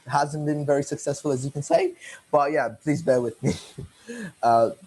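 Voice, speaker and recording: A man's voice: fairly high pitch, very animated, normal speed, darkish tone, slightly rough. Rambling teenage boy interviewer. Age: teens. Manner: rambling, repeats and corrects himself. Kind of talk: casual online interview.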